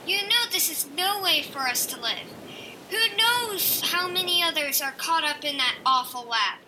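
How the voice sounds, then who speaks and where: A defiant young night dragon, acted by a woman, high in pitch and swinging widely through it. The delivery is impassioned audio drama, the words of a born rebel. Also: animated, normal speed, sharp tone, distant mic, a little hiss or hum, heavily acted, poor audio. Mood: defiant, rousing.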